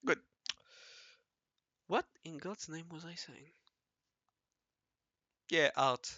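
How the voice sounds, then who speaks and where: Raspy guy mumbling thru his drawing session, kinda gravelly, bright edgy tone. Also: fairly high pitch, extremely slow, no echo, muffled recording. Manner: mumbling and self-correcting, often murmuring half to himself. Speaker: hobby artist narrating his drawing session. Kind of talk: casual think-aloud commentary while drawing.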